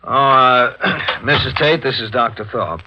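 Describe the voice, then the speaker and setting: An actor playing a brisk, businesslike police investigator in a 1940s radio drama. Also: male voice, medium pitch, background noise, lightly acted. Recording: dull 1940s radio recording.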